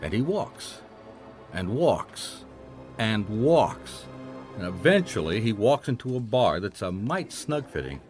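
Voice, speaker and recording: An actor playing a wry, dogged private detective in a radio drama, narrating and speaking in a dry, understated way. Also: male voice, lowish voice, big pitch swings, slow, a little mellow, soft background music. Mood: wry narration.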